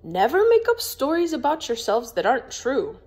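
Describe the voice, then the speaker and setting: A woman's voice: sits high, huge pitch swings, normal speed, a little mellow, voice pushed up. A woman voicing a proud, stiff young lady in a storybook, with a prim, formal, scolding manner. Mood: impatient, lecturing.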